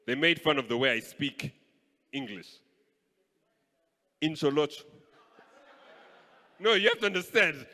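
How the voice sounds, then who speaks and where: A young man from Kenya doing live stand-up comedy on a stage mic, playful and animated. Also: a little high, measured pace, long pauses. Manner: animated, playful, switches voices. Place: stage in a large hall. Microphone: handheld stage mic. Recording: live hall with long reverb.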